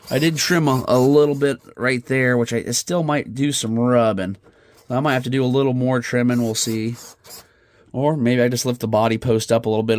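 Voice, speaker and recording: A man's voice: mid-range voice, no echo. Nasal man with a head cold. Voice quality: slightly nasal. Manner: relaxed, chatty, with fillers and restarts. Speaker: RC hobby channel host. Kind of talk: casual how-to build commentary. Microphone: phone camera.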